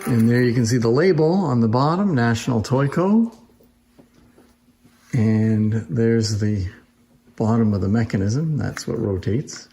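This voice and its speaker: Guy doing a casual show and tell, soft mellow tone, roomy echo. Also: mid-range voice, lively intonation, a little slow, soft background music. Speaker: presenter demonstrating antique phonograph toys. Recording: roomy echo, faint background noise.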